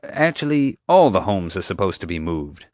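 A man's voice: low-pitched, very animated, moderate pace, darkish tone, no room sound, studio quality. A man voicing a blustering middle-aged male park owner who talks in a folksy, pushy, defensive way. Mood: boastful.

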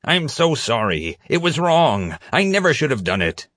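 A male narrator plays a gravelly-voiced crook from an audiobook. He is a cowardly bully, desperate and boastful by turns. The sound is dry and close. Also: expressive, normal speed, a bit bright, dry recording. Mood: wailing, pleading. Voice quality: deep, gravelly. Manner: wailing, desperate pleading. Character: James, blubbering male criminal, cowardly, pleading, boastful.

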